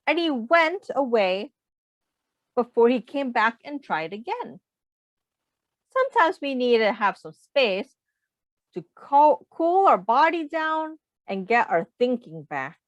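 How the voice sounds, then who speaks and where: A woman's voice: a little high, no room sound, dull audio. A woman reading a picture book aloud to young children, patiently explaining and asking simple questions like a teacher.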